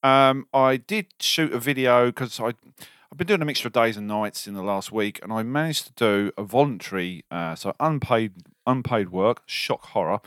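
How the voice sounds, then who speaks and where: A man's voice: mid-range voice, moderate pace, a bit bright, no room sound, studio quality. A man co-hosting a casual podcast, tired after a night shift, rambling with lots of ums and jokes. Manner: rambling, jokey, many ums. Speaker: podcast co-host. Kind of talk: casual chat.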